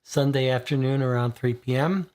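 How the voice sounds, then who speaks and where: A man in his forties with a slightly hoarse voice, hosting a live board game stream in a relaxed, explanatory way from a room full of bookshelves. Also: medium pitch, normal speed. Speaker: board game show host. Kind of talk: livestream talk.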